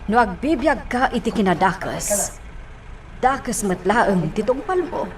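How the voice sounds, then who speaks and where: A woman playing a motherly older woman in a radio drama, cautioning and moralizing in a measured way. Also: medium pitch, animated, slight background noise, lightly acted. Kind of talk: Ilocano radio drama acting.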